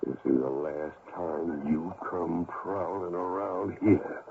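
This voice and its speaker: A man playing a gruff, excitable older gold miner and father in a radio drama, talking in a folksy, rustic way. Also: low-pitched, slow pace, full character voice. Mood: grim, determined. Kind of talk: 1970s radio drama acting. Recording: tinny, faintly noisy old radio broadcast.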